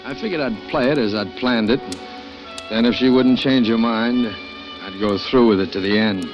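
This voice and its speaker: A man's voice: mid-range voice, moderate pace, close to an everyday voice. An actor playing a jealous husband who narrates his plans in the first person, in a 1940s radio drama. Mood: brooding. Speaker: narrator and lead. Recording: dull old radio broadcast with music.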